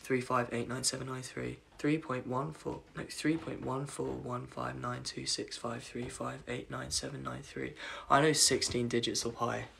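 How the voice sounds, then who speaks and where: A young man with a croaky voice chatting casually on a phone livestream from his bedroom at night, reading comments aloud and apologizing often. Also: mid-range voice, flat delivery, normal speed, few pauses, sharp tone, slight background noise. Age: young adult. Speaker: livestream host. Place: dim bedroom at night, indoors. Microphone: phone camera at arm's length.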